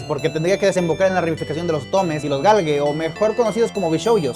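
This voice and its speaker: A man with a Latin American Spanish accent narrating a scripted video essay with casual asides. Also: fairly high pitch.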